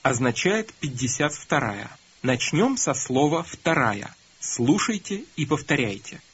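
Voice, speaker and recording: A man narrating a recorded language course, calmly prompting learners to repeat and answer. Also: medium pitch, measured pace, slight background noise. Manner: calm, prompting, instructive. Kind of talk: scripted instruction prompting learners. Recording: dull lo-fi course audio.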